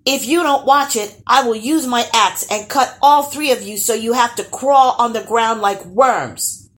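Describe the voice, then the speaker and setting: A woman voicing a bold, cocky young boy hero who yells defiantly in a storybook tale. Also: sits low, very animated, slow, full character voice. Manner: defiant yelling.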